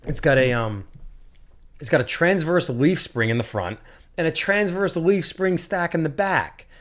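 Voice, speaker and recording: A man's voice: fairly high pitch, a little slow. A man hosting a podcast, casually telling a long story and acting out the people in it. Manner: animated storyteller, acts out quotes. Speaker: podcast host.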